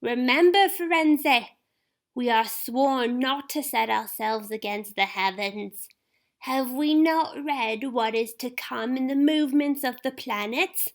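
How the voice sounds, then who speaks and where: A proud, furious female centaur voiced by a woman thunders her words out high and slow. Each line is stretched out and full of scorn, as a storybook character. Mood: scornful, angry. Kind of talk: storybook reading.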